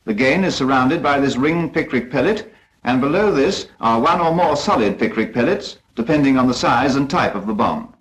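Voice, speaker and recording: Lively-pitched British 1940s training film narrator, male. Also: normal speed, poor audio. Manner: formal, precise, instructive.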